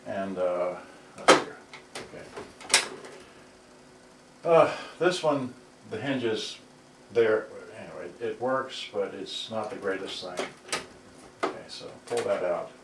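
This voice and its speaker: A man giving a casual, unscripted demonstration as a repairer, halting and muttering his thoughts aloud as he handles gear. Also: fairly low pitch, animated. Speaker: repairer demonstrating cassette players. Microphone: camera on tripod at some distance. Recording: roomy, distant mic, loud background noise.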